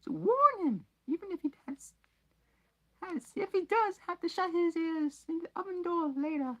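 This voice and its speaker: A woman voicing a small, anxious male house-elf with a very high, squeaky voice who speaks of himself in the third person. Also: lively intonation, moderate pace, bright, forceful tone, muffled recording, exaggerated voice. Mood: urgent pleading. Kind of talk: amateur audiobook reading.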